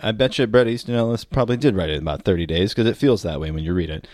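A man's voice: on the low side, rapid-fire, darkish tone, no echo, studio quality. A man co-hosting a podcast in casual comedic talk, explaining things in a wry, opinionated way. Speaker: podcast co-host.

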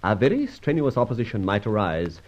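An actor playing a suave, teasing gentleman adventurer in an old radio serial, pompous and flippant with mock courtesy. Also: on the low side, big pitch swings, fairly quick. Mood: teasing, commanding. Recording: dull old radio recording.

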